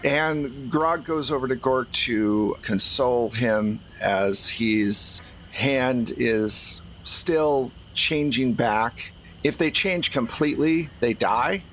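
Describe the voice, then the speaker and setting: Male game master, mid-pitched voice, conversational descriptive storytelling, tabletop horror game, faint background noise. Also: fairly bright tone, low-quality recording.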